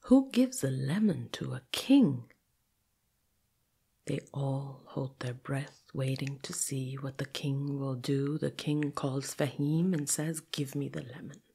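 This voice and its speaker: A woman storyteller narrating a folktale dramatically, with deliberate pauses and repetition that build suspense. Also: rumbling low, expressive, gravelly, dark tone, husky.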